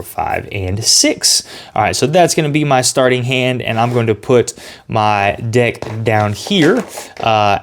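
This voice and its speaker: A man hosting a tabletop game tutorial in a casual style, talking viewers through each step and reading out story passages. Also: medium pitch. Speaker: host teaching a board game.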